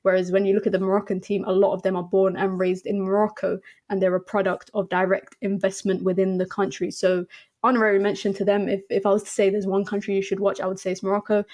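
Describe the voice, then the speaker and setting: Woman chatting football, flat delivery. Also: medium pitch, quick pace. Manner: chatty, many ums and restarts. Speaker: podcast guest. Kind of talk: casual football chat.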